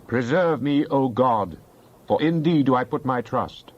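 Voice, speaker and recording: A man playing a devout psalmist in a dramatized Bible reading, praying in an earnest, deliberate, declamatory way. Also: mid-range voice, expressive, lo-fi sound. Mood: devout, trusting. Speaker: scripture reader.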